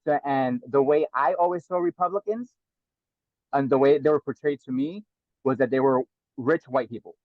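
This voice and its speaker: New guy in his middle years, thinks out loud, repeats himself, dead dry muffled call audio. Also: medium pitch, moderate pace, dry recording. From New York (South Bronx). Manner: earnest, reflective, repeats words as he thinks. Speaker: guest. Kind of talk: casual interview talk.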